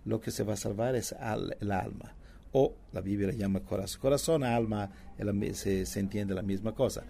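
A man who preaches mainly in English and Italian, teaching from the Bible in halting second-language with frequent restarts and fillers. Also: lowish voice, vocal fry, dark tone, slight background noise. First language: not Spanish; preaches in English and Italian. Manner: halting non-native Spanish with many restarts and fillers.

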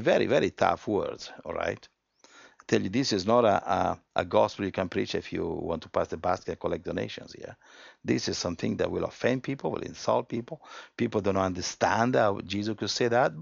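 Low gravelly preacher with dull sound. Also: male voice, no room sound. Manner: emphatic, restarts often, swings from quiet to loud. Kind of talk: sermon with scripture reading.

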